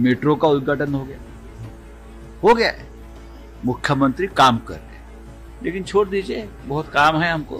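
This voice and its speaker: A man's voice: mid-range voice, fairly bright tone, poor audio. A man giving a spontaneous political statement in an interview, like a party spokesman.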